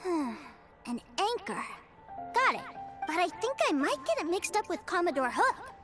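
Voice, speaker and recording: A woman's voice: very high-pitched, sing-song, normal speed. A woman voicing a restless, naive little boy in a video game, talking in an eager childlike way, with game music behind. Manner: eager, wide-eyed, childlike.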